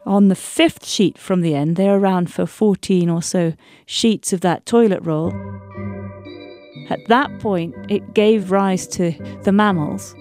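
A woman paleontologist speaks in an interview, explaining ideas thoughtfully. Her voice sits at a middle pitch. Faint music plays underneath. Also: a little slow, a little mellow. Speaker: paleontologist guest.

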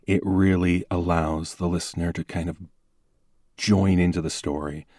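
A man who hosts a podcast and does voice acting, explaining things in a measured, articulate way in an interview. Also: deep voice, little pitch movement, a little mellow, dry recording, studio quality. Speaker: podcast host and producer, voice actor. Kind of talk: relaxed interview conversation.

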